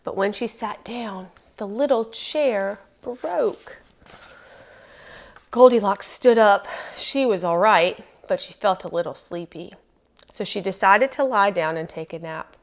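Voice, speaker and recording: Woman narrator for children's read-aloud: medium pitch, emphatic, expressive, lingers on key phrases. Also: unhurried. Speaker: storyteller.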